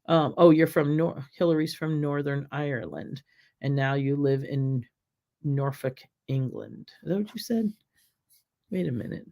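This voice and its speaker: A middle-aged woman from the United States hosting a casual cooking live stream, chatting with viewers in a rambling, friendly way. Her voice is slightly raspy while she recovers from an illness. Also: sits low, unhurried, dark tone. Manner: chatty, rambling, many ums, reads viewer comments aloud. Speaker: cooking show host.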